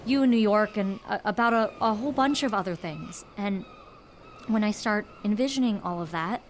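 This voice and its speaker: An actress playing an earnest, dry-witted young woman with a gentle, measured manner in a naturalistic audio drama. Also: medium pitch, slight background noise, lightly acted. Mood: tender, regretful. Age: young adult.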